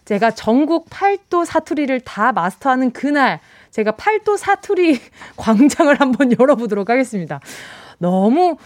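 A woman's voice: high voice, sing-song, normal speed, a little mellow, dry recording, clean studio sound. A woman hosting a live radio show, chatting playfully with listeners, reading their messages and laughing often, with a trace of a Busan accent. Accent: Busan dialect. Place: radio studio. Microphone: studio microphone.

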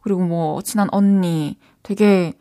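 A woman's voice: lowish voice, fairly even intonation, a little slow, dark tone, no echo, clean studio sound. A woman hosting a casual radio talk show, chatting politely and leading the segments. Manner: friendly, polite, runs the segments. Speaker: radio host.

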